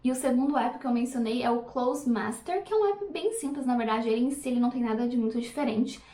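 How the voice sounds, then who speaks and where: A woman, briskly explaining her writing practice in a casual vlog. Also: fairly high pitch, dark tone. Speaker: language-learning host. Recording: roomy, distant from mic.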